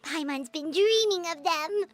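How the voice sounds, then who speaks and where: A woman's voice: very high voice, a little slow. A woman voicing a small, eager, childlike fairy companion in a video game, chirpy and talking in the third person. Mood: delighted. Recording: music behind the voice.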